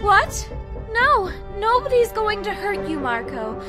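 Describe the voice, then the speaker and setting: A woman playing a gentle, reassuring young female soldier in an audio drama, polite and soothing. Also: extremely high pitch, sing-song, slow pace, exaggerated voice. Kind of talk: amateur audio drama acting. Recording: loud dramatic music under voice.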